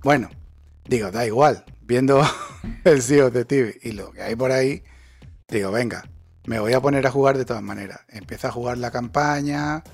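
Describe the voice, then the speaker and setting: A man's voice: medium pitch, moderate pace, gravelly, breathy edge. A man, ranting sarcastically and swearing in a casual chat as a podcast co-host. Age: 40s.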